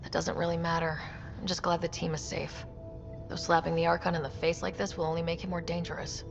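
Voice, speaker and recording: A woman's voice: on the low side, little pitch movement, brisk, vocal fry, muffled recording, over music. An actress playing a determined, earnest young female leader of space explorers in a video game. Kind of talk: video game cutscene acting.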